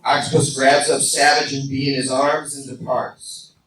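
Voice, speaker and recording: A man's voice: mid-range voice, level tone, moderate pace, fairly bright tone, distant mic. Echoey, noisy recording of a male narrator. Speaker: narrator of a radio play. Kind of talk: scripted narration of superhero action.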